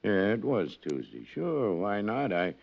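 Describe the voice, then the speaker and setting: Gravelly, low-voiced dockside news vendor. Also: male voice, huge pitch swings, full character voice. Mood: rambling, pious. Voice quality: gravelly creaky voice. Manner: rambling, evasive, slow to answer. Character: vague, pious, stubborn dockside newspaper seller. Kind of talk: 1950s radio drama acting. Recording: dull old radio recording.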